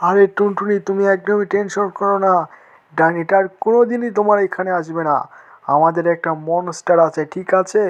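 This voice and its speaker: A man's voice: high voice, lo-fi sound, close to an everyday voice. A man voicing a helpful, concerned male cartoon friend with a reassuring manner. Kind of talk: cartoon dialogue.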